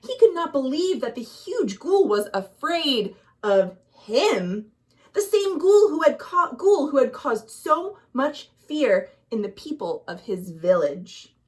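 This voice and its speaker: An American woman reading a children's story expressively, with dramatic, deliberate emphasis and questions to young listeners. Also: sits high, big pitch swings, a little mellow. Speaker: librarian, storytime reader.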